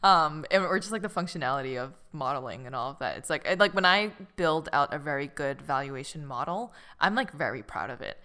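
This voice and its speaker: A young woman from Boston talks in an easygoing way on a podcast. Her voice sits low, and she laughs often between thoughts. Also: lively intonation, brisk, hardly any pauses, sharp tone, clean tone. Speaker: podcast co-host. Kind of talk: casual chat.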